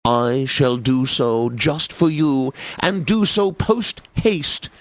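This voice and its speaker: A man playing a pompous, snobbish, condescending classical radio host in a video game, announcing with theatrical, deliberate enunciation. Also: medium pitch, expressive, no echo. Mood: grandly announcing.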